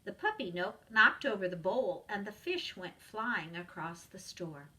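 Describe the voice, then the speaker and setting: Bright, expressive woman reading a storybook. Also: medium pitch, measured pace, far from the mic, rough recording. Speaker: preschool teacher reading aloud. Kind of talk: expressive children's storybook narration.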